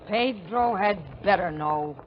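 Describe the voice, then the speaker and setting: An actress playing a greedy, ruthless femme fatale in a 1940s radio drama, American, bossy and taunting. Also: female voice, medium pitch, slow pace, natural voice. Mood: commanding. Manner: bossy, taunting, hard-boiled. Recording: noisy muffled 1940s radio broadcast.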